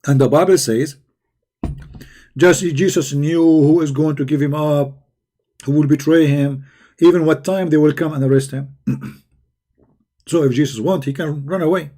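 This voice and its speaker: A hoarse man who is losing his voice, giving a sarcastic, scornful commentary. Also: a little high, steady tone, moderate pace, long pauses, mellow. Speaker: religious critic. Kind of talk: mocking video commentary.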